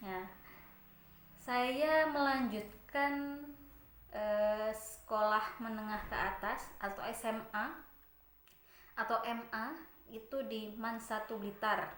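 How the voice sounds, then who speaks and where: A woman in her twenties from Malang, giving a friendly, unhurried introductory lecture and asking her students questions. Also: on the high side, steady tone, fairly bright tone, echoey room. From Malang, East Java, Indonesia. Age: 20s.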